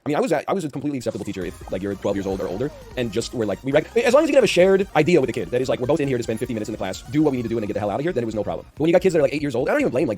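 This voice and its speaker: A man in his early thirties rattles off rambling stories at a very rapid clip, his deep voice padded with constant "like"s. He hosts a casual game stream, his tone dry and unexcited, and his pitch sits in an ordinary middle range. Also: darkish tone. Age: early 30s. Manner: rambling, talky, heavy on filler "like"s; dry, unenthusiastic delivery. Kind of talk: casual gaming chat and storytelling.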